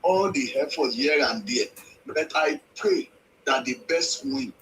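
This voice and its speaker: Lively, bright-voiced Nigerian man calling in. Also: fairly high pitch, unhurried, slight background noise, rough recording. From Lagos, Nigeria. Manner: repeats phrases for emphasis. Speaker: phone-in caller. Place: calling in to a live show.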